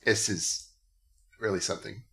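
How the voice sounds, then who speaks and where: A man's voice: lowish voice, fairly even intonation, normal speed, bright, forceful tone, slightly rough. A man hosting a comedy podcast, chatting casually with wry, exasperated and profane riffing. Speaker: podcast host.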